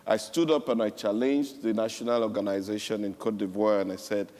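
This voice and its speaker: A man's voice: fairly low pitch, steady tone, fairly quick, darkish tone. A man from Cameroon speaking on a panel, telling a story with emphatic repetition. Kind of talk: panel speech. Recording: echoey hall.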